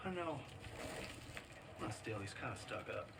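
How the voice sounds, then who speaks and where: A man's voice: on the low side, expressive, a little slow, vocal fry, very noisy. An actor playing a worried, earnest man in a video game, confiding grimly in short emphatic bursts. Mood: grim, resigned. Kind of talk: dramatic video game acting.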